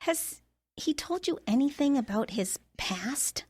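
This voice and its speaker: Halting, slow-paced young woman sleuth played by a woman. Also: sits high, expressive, a little mellow. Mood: awkward, probing. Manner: halting, tactful, measured. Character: hesitant, wary amateur sleuth, a younger small-town woman. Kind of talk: audio drama acting.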